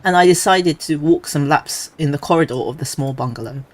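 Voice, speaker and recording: A man with a somewhat high voice reads creepy stories aloud to his stream. He keeps a relaxed, chatty manner and drops casual asides between passages. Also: brisk.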